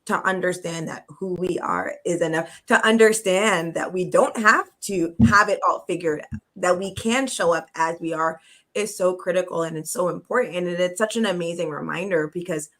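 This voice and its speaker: Low-voiced woman, heartfelt and measured. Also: a bit bright. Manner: heartfelt, measured, drawn-out emphasis. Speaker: panelist. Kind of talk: reflective panel discussion.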